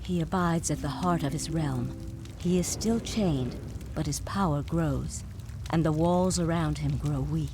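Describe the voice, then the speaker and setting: An actress playing a tormented, remorseful spirit guide in a video game, speaking in a formal, measured, solemn way. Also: female voice, low voice. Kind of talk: dramatic video game voice acting. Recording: loud music behind. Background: game score music.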